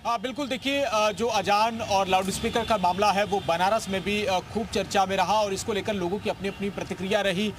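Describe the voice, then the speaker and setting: Traffic and street noise run clearly behind this man. He is a field reporter with a high-pitched voice, delivering an unscripted live news report outdoors. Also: steady tone, a little fast, few pauses. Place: outdoors on a city roadside.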